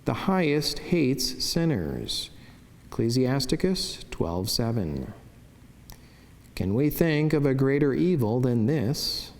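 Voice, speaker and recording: A man with a medium-pitched voice reads a religious devotion from a script. His delivery is solemn, formal and measured. Every phrase carries a long, church-like reverberant tail. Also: very animated, a little mellow. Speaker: religious narrator reading a saint's life and devotional meditation.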